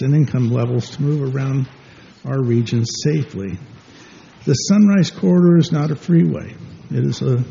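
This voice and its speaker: A man's voice: a little high, creaky, dark tone, a little hiss or hum. Middle-aged guy reading public comment at a meeting, muffled room audio. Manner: measured, reading from notes. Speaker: public commenter for a business group.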